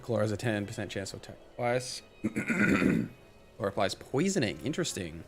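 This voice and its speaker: A man with a hoarse, worn voice, casually commentating a game stream to his chat and thinking aloud with lots of fillers. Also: lowish voice, sing-song, moderate pace, a little hiss or hum. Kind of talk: casual live-stream commentary, chatting with viewers. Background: game music.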